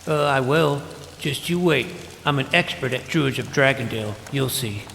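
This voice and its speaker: A man's voice: mid-range voice, normal speed, creaky, a bit bright, a little hiss or hum. A man playing a naive, self-doubting, geeky boy who narrates his fantasy adventure in a wry, youthful, earnest way. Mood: eager. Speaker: narrator and lead character. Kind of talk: first-person scripted fantasy narration and dialogue. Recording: music behind the voice.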